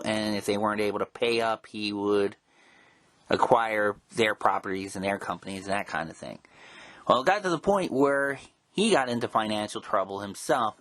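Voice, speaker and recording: A man hosting a show in a casual, informal way, with a halting, rambling delivery. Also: fairly low pitch, fairly bright tone, no echo. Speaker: show host and interviewer. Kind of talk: casual hosting and commentary on comics history.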